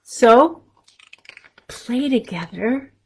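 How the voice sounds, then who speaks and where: A woman's voice: on the high side, animated, very slow, darkish tone, a little hiss or hum. A woman hosting a story time for young children, reading aloud and chatting casually in simple, encouraging language.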